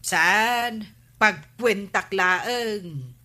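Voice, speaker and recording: A woman playing a sharp-tongued, scolding village woman in an Ilocano comedy radio drama, argumentative and exasperated, with an Ilocano accent. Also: medium pitch, very slow. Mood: exasperated, haggling. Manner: nagging, argumentative.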